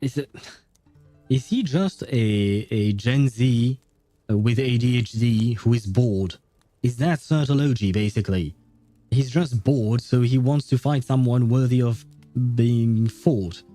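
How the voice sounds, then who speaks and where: A man giving casual livestream commentary on a game, musing aloud and pausing to think. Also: medium pitch, dry recording. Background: soft game music.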